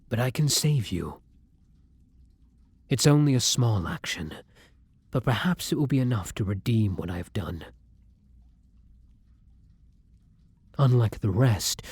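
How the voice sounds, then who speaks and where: A man playing a kind, tormented vampire in an intimate roleplay audio drama, murmuring softly and breathily in formal, old-fashioned phrasing. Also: fairly low pitch, slightly rough, no room sound, heavily acted. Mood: grave, determined. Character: kind, tormented male vampire, tender and protective lover.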